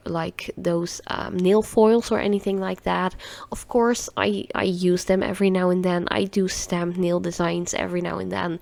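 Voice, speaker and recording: A woman's voice: lowish voice, creaky, darkish tone, no room sound. Stuffy nasal Dutch woman, rambling. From Netherlands. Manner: rambling, chatty, laughs often. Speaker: nail art vlogger. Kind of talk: casual tutorial chat.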